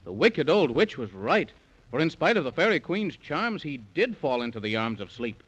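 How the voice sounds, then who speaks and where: A man's voice: a little high, sing-song, moderate pace, fairly bright tone, breathy edge, rough recording. A man narrating a children's serial in a dramatic 1930s radio storytelling style.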